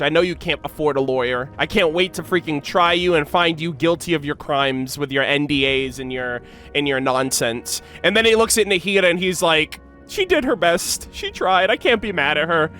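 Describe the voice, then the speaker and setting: A male streamer with a fairly high voice gives casual reaction commentary on a game. His delivery is informal and slangy, with swearing, over faint music. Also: pushed and bright. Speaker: reaction video host, streamer.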